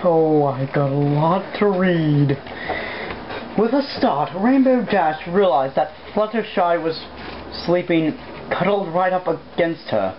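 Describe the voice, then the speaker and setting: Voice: mid-range voice, lively intonation, slow pace. Irreverent amateur reader on a dull, noisy recording. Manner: irreverent, swears at own slip-ups. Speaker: amateur fan fiction reader. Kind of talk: casual read-aloud with side remarks. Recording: dull lo-fi home recording, background noise.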